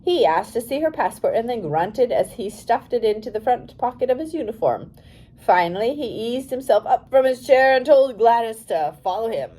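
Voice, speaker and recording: A woman narrating a biography for young readers as an audiobook, in a careful, expressive storytelling manner. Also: on the high side, moderate pace, poor audio.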